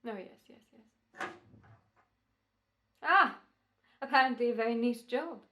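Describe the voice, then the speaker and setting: A woman's voice: fairly high pitch, background noise. A woman playing a courteous, sinister, knowingly amused doctor in an old-time radio drama. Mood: sly, pleased. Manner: polite, measured, knowingly amused. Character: suave, sinister, courteous doctor scheming over murder.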